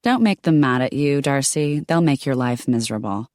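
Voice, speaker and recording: A woman's voice: very deep voice, expressive, moderate pace, darkish tone, no echo, studio quality. A woman voicing a smug, gossiping woman with a teasing manner. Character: catty punk-styled woman who gossips.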